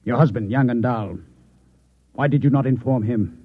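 An actor playing a composed, secretive Martian posing as a newspaperman in a 1950s radio drama, speaking in a measured, condescending way. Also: medium pitch, slightly rough, dry recording, natural voice. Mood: stunned. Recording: muffled, narrow-band old radio recording.

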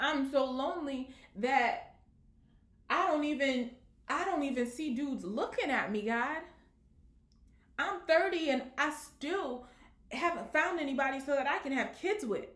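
Woman preaching in an echoey room. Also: high-pitched. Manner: rhetorical questions with repeated "right?". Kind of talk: sermon on singleness.

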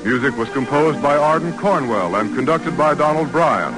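A man's voice: a little high, animated, moderate pace, poor audio. An American man as a 1940s radio announcer, reading a script in a formal, declamatory way. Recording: vintage radio broadcast with music. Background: music.

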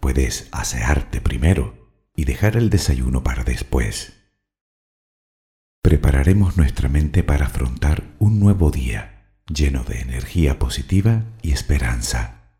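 A man leading a guided meditation, speaking soothingly and deliberately in short phrases of instruction and affirmation. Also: a bass voice, lively intonation, mellow.

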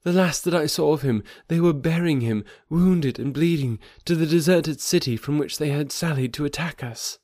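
A man's voice: a little high, normal speed, few pauses, a little mellow, dry recording, clean studio sound, pitched above the performer's own voice, close to an everyday voice. A man voicing a devoted young woman who speaks fervently in formal, old-fashioned phrasing. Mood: sad.

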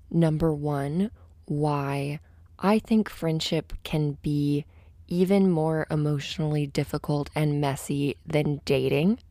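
A woman with a low, crackly voice talks softly and in a hush, keeping it quiet to tame the crackle. Her tone is dark and mellow. She records in a small closet padded with pillows, and the sound is close and completely dry. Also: no echo. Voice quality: naturally crackly voice. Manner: soft, low, hushed delivery kept quiet to limit the crackle. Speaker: podcast host. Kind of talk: reflective solo podcast monologue. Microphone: studio microphone.

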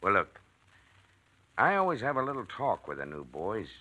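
A man's voice: lowish voice, wildly swooping pitch, moderate pace, pushed and bright, full character voice. An actor playing a genial, oblivious middle-aged factory foreman in 1940s radio style, repeating his phrases jovially. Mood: chummy.

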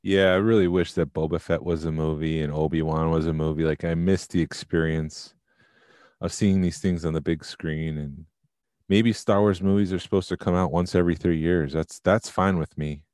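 A man co-hosting a podcast, chatting casually and thinking out loud about films. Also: deep voice, fairly even intonation, normal speed, no room sound, clean studio sound. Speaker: podcast co-host.

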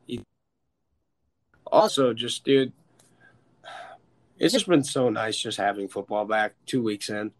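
An American man hosting a casual, sweary sports chat, opinionated and emphatic, with a stuffed-up, slightly hoarse voice. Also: lowish voice, animated, slow, pauses often, rough recording. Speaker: sports podcast host. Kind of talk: casual, profane football chat.

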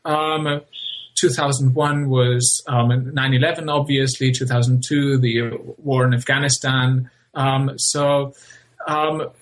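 German man speaking slowly with many ums. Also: mid-range voice, rough recording. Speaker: guest author. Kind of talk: interview.

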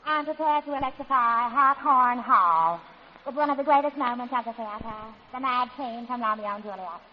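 A high-voiced, pompous Brooklyn would-be tragedienne played by a woman, declaiming with grand theatrical airs that keep slipping into brash slang. It sounds like a muffled old radio comedy broadcast. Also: moderate pace, close to an everyday voice. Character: pretentious would-be grand actress playing Juliet, brash underneath. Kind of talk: 1940s radio comedy acting.

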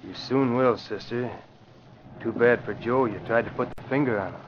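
A muffled, noisy vintage radio crime drama recording of a man playing a bullying gangster henchman. He talks gruffly and curtly in underworld slang, at a medium pitch. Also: normal speed, lightly acted. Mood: menacing. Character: tough, bullying male gangster henchman with a gun. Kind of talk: old-time radio crime drama acting.

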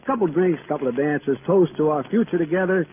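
An actor playing a dreamy small-time boxing manager in an old radio crime drama, talking in rhapsodic, wordy slang. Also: male voice, a little high, brisk, phone-quality sound, natural voice. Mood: wistful, boastful. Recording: band-limited old radio recording, faint noise.

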